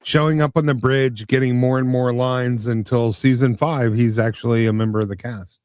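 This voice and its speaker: A man with a mid-range voice offers trivia during a podcast chat. He speaks in a measured, matter-of-fact way. The sound is dry and close. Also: mid-range voice, fairly even intonation, darkish tone, dry recording. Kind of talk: casual chat, sharing trivia.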